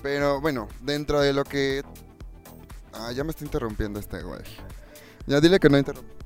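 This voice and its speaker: A man interviewing a guest in a relaxed, slangy way, searching for words. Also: medium pitch, moderate pace, soft background music. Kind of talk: casual interview questions.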